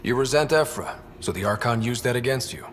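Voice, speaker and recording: An actor playing a cocky, dry-witted young explorer hero in a video game. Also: male voice, mid-range voice, a little fast, a little hiss or hum, close to an everyday voice. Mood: determined.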